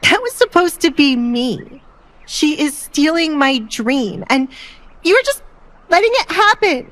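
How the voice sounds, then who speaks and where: A woman's voice: high-pitched, sing-song, takes it slowly, a bit bright, pitched above the performer's own voice, heavily acted. A woman voicing a jealous, haughty young noblewoman in an audio drama, scoffing and indignant. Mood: wounded, indignant.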